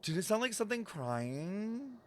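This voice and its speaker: A man's voice: high voice, big pitch swings, measured pace, darkish tone, slight background noise. A young man streaming, reacting casually to a game story, musing aloud with incredulous swearing. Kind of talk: casual live reaction chat. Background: game music.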